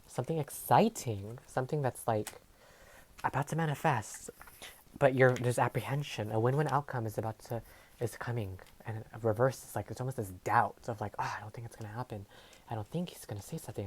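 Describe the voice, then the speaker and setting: Woman, Tagalog first language, very low pitch, meandering tarot-reading delivery with lots of fillers. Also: quick pace, slight background noise. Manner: meandering, hesitant, full of fillers and trailing phrases. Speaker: tarot reader. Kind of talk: casual tarot reading monologue. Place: home filming setup. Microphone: overhead camera setup.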